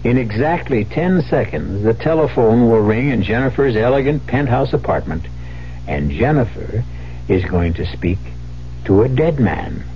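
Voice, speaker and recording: A man narrating as host of a 1970s radio mystery in a measured, dramatic, sardonic way. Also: mid-range voice, expressive, background noise, lightly acted. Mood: wry, ominous. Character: wry, ominous mystery story host. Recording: muffled old radio broadcast, faint music.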